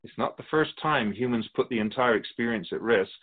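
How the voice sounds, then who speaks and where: A man playing a calm, wise, otherworldly keeper of the soul realm in a radio drama, speaking in a measured, gently lecturing way. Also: medium pitch, natural voice. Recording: tinny phone-like sound.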